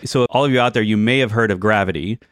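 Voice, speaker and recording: A man's voice: fairly low pitch, quick pace, no echo, studio quality. A man hosting a tech podcast, explaining things in a casual, conversational way. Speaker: podcast host.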